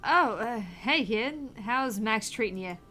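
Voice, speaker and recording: A woman's voice: on the high side, expressive, slow pace, bright, forceful tone, soft background music, heavily acted. A woman voicing a stressed but cocky young female tournament gamer, reading her lines with defensive bravado.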